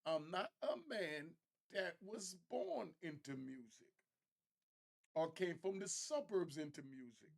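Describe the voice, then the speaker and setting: A man with a slightly high voice and noticeable vocal fry hosts a casual commentary show. He speaks in conversational street slang and gives opinionated takes. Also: expressive, slow. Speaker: host of an online commentary show.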